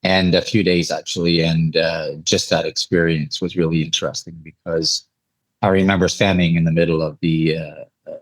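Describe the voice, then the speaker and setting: Very deep-voiced man, conversational storyteller. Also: little pitch movement, moderate pace, no echo, rough recording. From Eastern Canada. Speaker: interview guest, air traffic controller telling stories.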